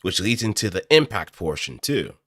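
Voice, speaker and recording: A man with a low, bright voice explains things casually, as an amateur voice actor would in a tutorial. His delivery swings widely and he thinks aloud as he goes. The sound is close and dry, straight into a studio microphone. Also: sing-song, moderate pace, dry recording. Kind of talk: casual explanatory talk, improvised voice acting tutorial. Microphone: close studio microphone through audio interface.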